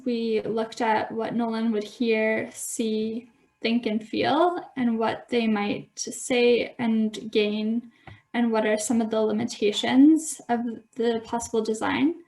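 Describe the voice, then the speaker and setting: A 25-year-old woman from Alberta presents a project, measured and explanatory. Her voice is on the high side, with plenty of vocal fry. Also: fairly even intonation, a little mellow. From Alberta, Canada. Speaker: student presenter.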